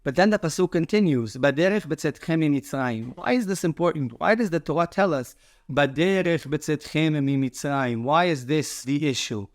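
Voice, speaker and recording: A man's voice: on the high side, expressive, normal speed, no room sound, clean studio sound. A man speaking as a rabbi, giving a short explanatory Torah teaching with emphatic repetition.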